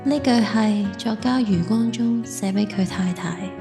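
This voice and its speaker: A woman's voice: medium pitch, a little slow, vocal fry, music in the background. An actress playing a tender, devoted teenage schoolgirl in an audio drama, with a Hong Kong Cantonese accent. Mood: tender, romantic.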